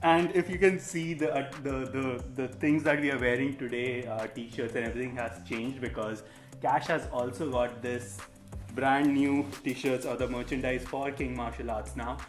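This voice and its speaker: A man's voice: mid-range voice, steady tone. Guy hosting his vlog interview, friendly, stumbly, echoey room w/ light music. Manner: friendly, halting with restarts. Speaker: host. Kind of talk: casual interview.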